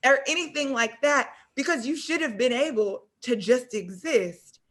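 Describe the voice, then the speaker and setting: A woman's voice: high voice, normal speed, a bit bright. A woman co-hosting a podcast discussion, talking in an earnest, reflective way and often checking in with "right?" and "you know". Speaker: podcast co-host.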